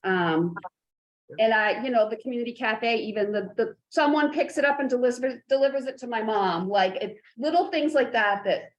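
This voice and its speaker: A woman's voice: a little high, animated, moderate pace, muffled recording. Middle-aged board lady rambling kinda earnest at a meeting. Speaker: selectboard member. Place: town meeting room.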